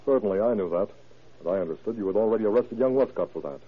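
An actor playing an evasive, indignant gentleman under suspicion in a classic radio drama. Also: lowish voice, animated, very fast, breathy edge. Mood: cool, evasive. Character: smooth-talking, evasive, indignant gentleman suspect. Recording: muffled, tinny old radio broadcast.

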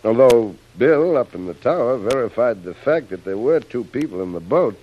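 Muffled old-radio tough boss, acted by a man, very animated. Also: medium pitch, moderate pace, a little hiss or hum, lightly acted. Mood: puzzled. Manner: teasing, blunt, slangy. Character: brash island boss, amused and suspicious. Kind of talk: 1930s radio serial acting.

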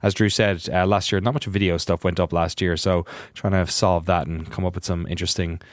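An Irish man co-hosting a podcast, chatting casually with jokes and quick laughs. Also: low-pitched, level tone, no room sound, clean studio sound. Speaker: podcast co-host. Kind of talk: casual chat.

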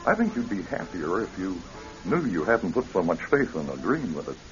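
On a dull old radio recording with some music, a probing psychiatrist. The man has a lowish voice with varied intonation and questions in a measured, professional way. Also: sing-song. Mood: reassuring. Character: measured, probing male psychiatrist interviewing a patient. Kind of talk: radio drama acting.

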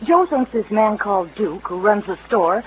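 A woman's voice: medium pitch, lively intonation, moderate pace, lightly acted. A woman playing an anxious, earnest young townswoman in an old Western radio drama. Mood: worried. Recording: muffled old radio broadcast, faint noise.

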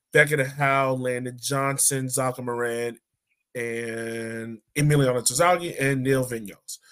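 A man hosting a sports podcast in a casual, conversational way. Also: medium pitch. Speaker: podcast host.

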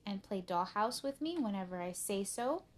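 A woman's voice: medium pitch, animated, moderate pace, natural voice. A woman voicing a bossy, sly little girl in a children's storybook, teasing as she talks. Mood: smug, teasing.

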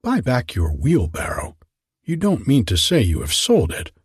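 Brief: condescending storybook miller, preachy, husky male narrator's take. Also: medium pitch, wildly swooping pitch, measured pace, no room sound, clean studio sound, full character voice. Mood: sanctimonious. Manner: sanctimonious, condescending. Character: smug selfish male miller, preachy about friendship.

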